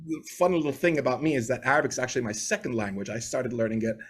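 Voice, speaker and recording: A man's voice: medium pitch, fast, breathy edge. A man in his forties from Bahrain, a native English speaker, telling stories in a chatty, winding way during a video-call interview. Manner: chatty, winding, tags points with "right?". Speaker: guest. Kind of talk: casual interview storytelling.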